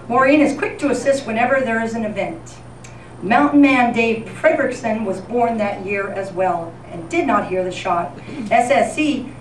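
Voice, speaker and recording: A woman with a somewhat high voice delivers a scripted tribute as if reading radio news. Her presentation is theatrical and comic. She is heard from a distance, with clear background noise in the room. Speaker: event presenter. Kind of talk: scripted comedic tribute narration, golden-age radio newscast format. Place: live event in a senior center room. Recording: echoey, noisy room recorded from far off.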